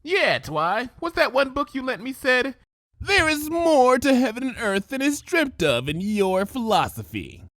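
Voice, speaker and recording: Brash cartoon tomboy pegasus girl, male reader, casual and blunt, raised pitch, very animated delivery. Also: unhurried, no room sound, clean studio sound, heavily acted. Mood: teasing.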